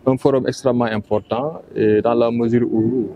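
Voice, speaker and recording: A Senegalese man giving a formal press statement in a measured, hesitant way. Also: mid-range voice, fairly even intonation, muffled recording, a little hiss or hum.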